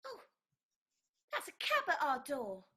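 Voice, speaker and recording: Casting: English servant, stage farce; shrill register, unhurried delivery, huffy and doting. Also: female voice, wildly swooping pitch, sharp tone, exaggerated voice. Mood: smug. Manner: slow, plaintive, colloquial. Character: indignant doting female household servant. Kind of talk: theatrical farce reading.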